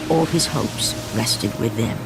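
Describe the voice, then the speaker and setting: A man giving grave, scripted game narration in a slow, somber storytelling way, over loud game music. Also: medium pitch, low-quality recording.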